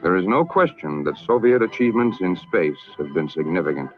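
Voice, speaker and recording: An American man narrating a scripted 1960s public information film in a formal, measured, emphatic manner. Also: low-pitched, lively intonation. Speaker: documentary narrator. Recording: narrow-band vintage film soundtrack, music behind.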